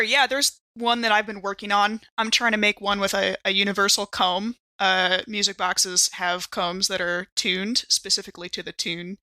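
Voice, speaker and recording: A woman's voice: mid-range voice, gravelly, bright, forceful tone, dry recording. A woman playing a tabletop game, casually improvising details about her character and thinking aloud in loose, conversational phrases.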